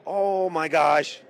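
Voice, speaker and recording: A man's voice: sits high, vocal fry. A male host on a live show floor, enthusiastic and full of exclamations as he asks questions. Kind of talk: live event hosting.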